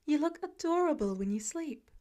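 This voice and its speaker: A woman voicing a gentle, affectionate mother in an audiobook, with a soothing manner. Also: sits high, expressive, moderate pace, voice pushed up. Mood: gentle, fond.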